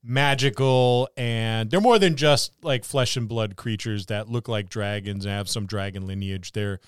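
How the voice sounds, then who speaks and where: A man's voice: on the low side, unhurried, clean tone, no echo, studio quality. A man co-hosting a podcast and chatting casually about tabletop games. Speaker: podcast co-host. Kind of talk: casual tabletop gaming discussion.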